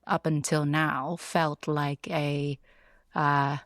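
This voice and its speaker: A woman from Vietnam, answering interview questions in a reflective, halting, conversational way. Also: sits low, little pitch movement, a bit bright, dry recording, studio quality. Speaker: interview guest, product builder and designer.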